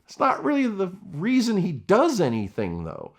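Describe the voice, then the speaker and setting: A man gives vlog commentary in a fairly high voice. His pitch moves in a very lively way, and he phrases each point deliberately and with emphasis.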